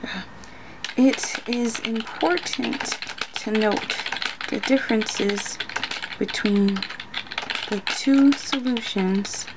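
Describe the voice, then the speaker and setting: Woman, ASMR roleplay as a nervous student: slow, gravelly fry, halting self-talk with whispered bits, noisy clicky recording. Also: on the high side. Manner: hesitant, flustered, repeats words, often slipping into whispers. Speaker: ASMR roleplayer acting as an unprepared student taking a quiz. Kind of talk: ASMR roleplay, muttering to herself.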